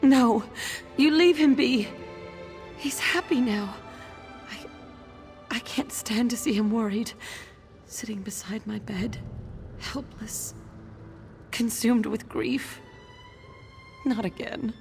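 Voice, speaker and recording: A woman playing a frail, ailing noblewoman in a video game, halting and weary as she pleads and hides her suffering. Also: mid-range voice, soft background music. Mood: protective, anguished. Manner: halting, weary, breaking into whispers. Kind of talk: dramatic game dialogue.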